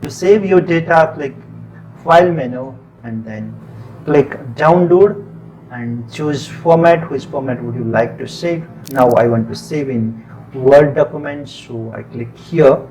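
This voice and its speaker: A man with a Nepali accent, a native Nepali speaker, talking through a software tutorial in plain, unhurried step-by-step instructions. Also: mid-range voice, slight background noise, low-quality recording. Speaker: tutorial presenter. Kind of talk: screen-recorded software tutorial.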